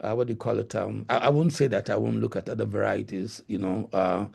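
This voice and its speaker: Gravelly-voiced Ghanaian man. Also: fairly low pitch, fairly even intonation, a little fast, darkish tone. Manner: hesitant, many fillers and restarts. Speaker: guest lecturer. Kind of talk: answering questions in a webinar. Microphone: video call.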